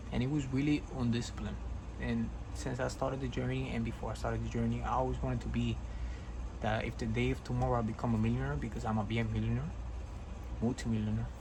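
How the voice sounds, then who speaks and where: A young man of about twenty with a gravelly, frying edge to a mid-pitched voice. He talks in blunt, slangy, earnest fashion, like a motivational vlogger addressing viewers directly. Clear noise, with vehicle sounds, sits behind him. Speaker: vlogger and real estate entrepreneur. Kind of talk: casual motivational vlog monologue.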